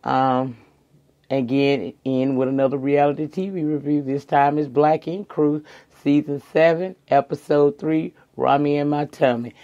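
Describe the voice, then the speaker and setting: A middle-aged woman, hoarse tonight, chatting casually and sassily to viewers as she reviews a reality show. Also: low voice, steady tone, unhurried, dark tone, lo-fi sound. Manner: chatty, sassy, addresses viewers as girl and y'all.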